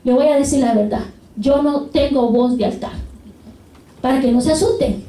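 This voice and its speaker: A woman's voice: high-pitched, moderate pace, mellow, echoey room, recorded from a distance, rough recording. A woman preaching a sermon with fervent repetition of praise phrases. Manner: fervent, repeats hallelujah and glory to God.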